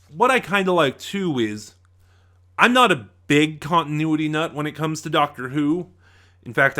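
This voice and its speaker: A man reviewing in a casual, unscripted way as host, halting at times, trailing off and circling back mid-thought. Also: mid-range voice, fairly bright tone.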